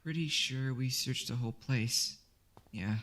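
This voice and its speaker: A man voice-acting a hesitant, reluctant young amateur investigator in an animated mystery, stammering a little as he talks. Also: medium pitch, unhurried, lightly acted. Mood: subdued, hesitant.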